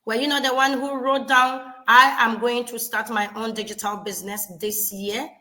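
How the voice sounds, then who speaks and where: A woman's voice: on the high side, steady tone, moderate pace, sharp tone. A woman co-hosting a motivational talk show, delivering an emphatic, preachy pep talk full of rhetorical questions aimed straight at the viewer. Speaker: co-host.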